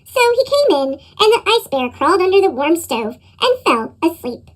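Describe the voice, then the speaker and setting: Female children's narrator, chipmunk-processed, squeaky high, very animated read-aloud delivery. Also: moderate pace. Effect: sped-up chipmunk pitch effect. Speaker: storybook reader.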